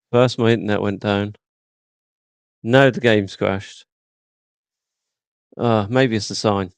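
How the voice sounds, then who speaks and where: A man's voice: on the low side, steady tone, slow, long pauses, smooth, dry recording. A man casually commenting while streaming a game, wry and self-deprecating.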